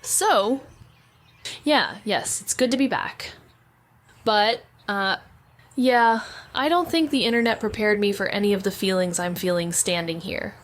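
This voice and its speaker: Actress as young woman, sardonic returning small-town detective, conversational audio drama narration, mid-range pitch, some background ambience. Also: a little slow, natural voice. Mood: wry, ambivalent. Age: young adult. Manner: sardonic, conversational, rambling asides. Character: wry, self-deprecating young woman returning to her hometown, former teen detective. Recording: noticeable background ambient noise.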